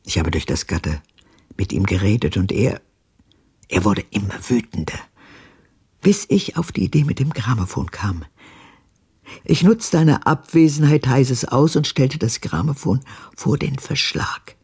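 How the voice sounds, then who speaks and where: An actress playing an embittered old woman who recalls her past with dramatic intensity in a radio drama, her voice husky and gravelly. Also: very deep voice, sing-song, a little slow, a little mellow, exaggerated voice. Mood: brooding, haunted. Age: older.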